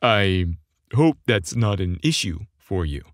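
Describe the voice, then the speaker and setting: Man reading earnest, hesitant young romance hero, slow-paced. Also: sits low, lively intonation, dry recording, studio quality. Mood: hesitant, serious. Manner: hesitant, sincere. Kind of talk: audiobook dialogue.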